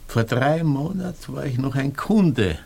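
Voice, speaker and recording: Gravelly Viennese man, ruined and bereaved. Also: medium pitch, lively intonation, unhurried, a little mellow, slight background noise. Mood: bitter nostalgia. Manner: rambling, plaintive, repeating himself. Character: bereaved, ruined former businessman turned scrounger, bitterly wry. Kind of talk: German-language radio play acting.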